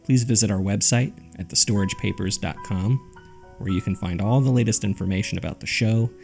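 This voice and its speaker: A man's voice: fairly low pitch, vocal fry, darkish tone. A man reading scripted narration as the host of a horror fiction podcast. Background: background music.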